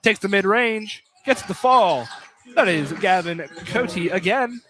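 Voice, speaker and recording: A man's voice: high-pitched, lively intonation, takes it slowly. A man doing live play-by-play basketball commentary on radio. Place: college basketball field house. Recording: clearly noisy live broadcast.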